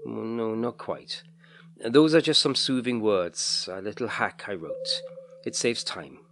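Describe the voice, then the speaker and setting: A mysterious male teacher from a storybook, voiced by a man reading aloud, kindly and unhurried in his explanations. The voice is fairly low and soothing, with clear noise in the background. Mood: patient explaining. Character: calm, mysterious male history teacher, gently patronising.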